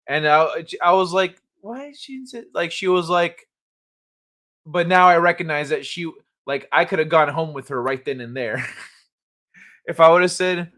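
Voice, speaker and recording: A man hosts a casual live stream in a slightly higher than usual voice. He rambles and jokes loosely, swears freely and laughs often. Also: fairly bright tone. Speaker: livestream host. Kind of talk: casual live-chat talk.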